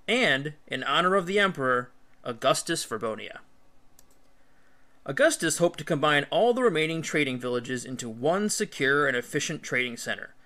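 A man's voice: a little high, bright, forceful tone. A man reading an educational history book aloud like an audiobook, as a teacher would, with emphatic, careful phrasing.

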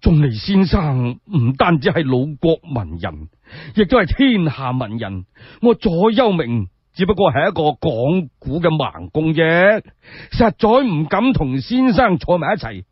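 A man voicing a humble, excitable old blind storyteller in a Cantonese radio tale, effusive and deferential. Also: on the high side, measured pace, slightly rough, no echo, muffled recording, heavily acted. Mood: humbly flattered.

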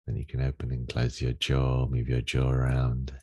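A man leading a guided meditation as a teacher, giving gentle, unhurried instructions with long pauses in a gravelly, husky voice. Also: extremely low pitch, completely flat delivery, highs cut off. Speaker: meditation teacher leading a daily group session.

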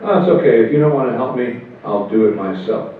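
A man's voice: mid-range voice, brisk, slight background noise. Older man, wry storyteller in an echoey room. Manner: wry and anecdotal, chuckles at his own jokes. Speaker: interview guest, politician. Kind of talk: relaxed conversational storytelling. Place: hotel meeting room at a convention. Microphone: table mic on a stand, heard from far. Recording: echoey room, far, phone-like thin sound.

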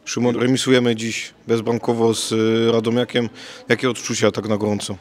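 A man's voice: medium pitch, flat delivery, a little fast. A man asking a player short post-match interview questions for a club channel.

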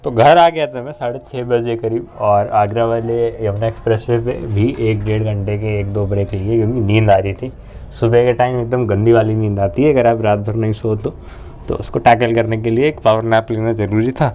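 A man's voice: fairly low pitch, steady tone, normal speed, mellow, highs cut off, slight background noise. A man talking casually as a car vlogger, informally mixing in English words. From India. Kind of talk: casual road-trip vlog talk.

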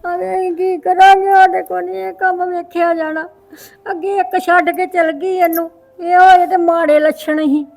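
A woman's voice: high-pitched, normal speed, a bit bright. A woman playing an elderly mother in a family drama, plaintive and imploring, speaking. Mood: tearful, distressed. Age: older. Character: pleading, scolding elderly mother of a wayward son.

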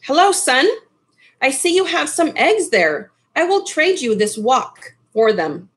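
A woman's voice: sits high, sing-song, a little slow, fairly bright tone, pitched above the performer's own voice, full character voice. A woman voicing a small, sly, friendly old man trading in a storybook, coaxing.

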